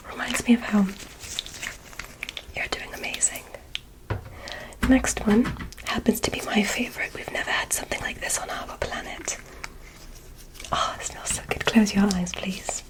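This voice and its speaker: A gentle, curious female alien examiner, played by a woman, guides an ASMR roleplay in a soft whisper. She speaks very slowly, giving soothing instructions and encouragement. Her pitch sits at an ordinary level for a woman. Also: lightly acted, rough recording.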